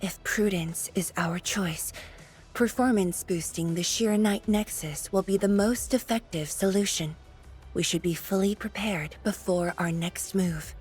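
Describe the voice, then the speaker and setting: A woman voicing a calm, dignified, prudent commander in a video game, speaking formally and with measure. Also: mid-range voice, unhurried, soft background music, close to an everyday voice. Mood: measured.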